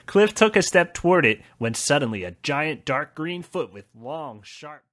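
A man's voice: a little high, big pitch swings, normal speed, a bit bright. A man hosting a comedy podcast, telling improvised stories in a crude, profane manner and prone to laughing. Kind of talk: improvised comic storytelling with banter.

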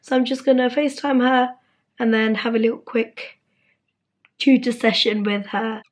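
Young woman, high voice, husky, voice still recovering, rambling vlog chat, phone camera. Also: steady tone, normal speed, no room sound. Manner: chatty, rambling, self-deprecating. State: recovering from lost voice. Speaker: dental student vlogger. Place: car and bedroom, indoors. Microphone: phone camera at arm's length.

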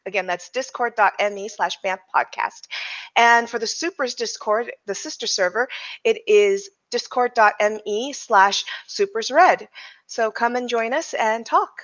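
A woman's voice: on the high side, a little slow, fairly bright tone. A young woman co-hosting a podcast over a headset video call with dull audio, making announcements and handing over to guests. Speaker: podcast co-host. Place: small home room.

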